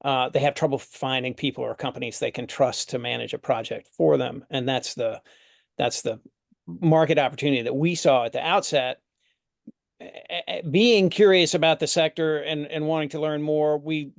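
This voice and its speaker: A man's voice: mid-range voice, dry recording, clean studio sound. A man, a housing company founder, explaining his company's start in a thoughtful way with some hesitations. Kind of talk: conversational interview answer.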